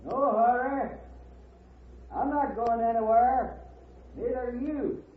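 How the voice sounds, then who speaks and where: A man's voice: very high-pitched, steady tone, slow pace, slight background noise. An actor playing a taunting, menacing hired gunman in a 1950s radio western, drawling folksy tough talk. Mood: smugly taunting. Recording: echoing, tinny 1950s radio recording.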